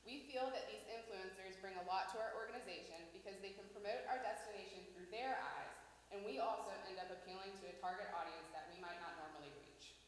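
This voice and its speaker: A woman's voice: medium pitch, fast talker. A woman reading a prepared report to a meeting as a presenter for a tourism organization. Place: city hall meeting room. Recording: very echoey hall, faint noise.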